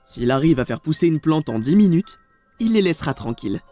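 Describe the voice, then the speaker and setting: A man narrates a scripted video essay with a measured, ominous storytelling delivery. His voice is a touch higher than average for a man. Music plays softly underneath. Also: lively intonation. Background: background music.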